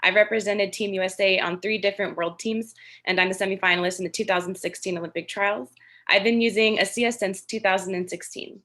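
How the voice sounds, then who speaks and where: A woman of thirty, a track athlete, speaking clearly and confidently in a testimonial. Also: medium pitch, fairly even intonation, quick pace, fairly bright tone. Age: 30s. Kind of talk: testimonial interview.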